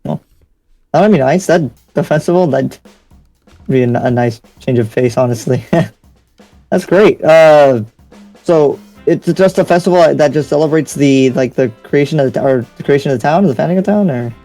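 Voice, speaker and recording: Male demon slayer played by a man, casual, hesitant, agreeable, soft-edged warm timbre, game roleplay, faint music. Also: a little high, moderate pace, lightly acted. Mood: curious. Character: Cal, easygoing, curious young demon slayer. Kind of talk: improvised Minecraft anime roleplay. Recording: music behind.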